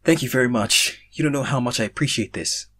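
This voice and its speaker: Man playing a husky, earnest stranger from another world. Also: medium pitch, fast talker, pushed and bright. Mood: grateful. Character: nervous, pleading young foreigner from another world.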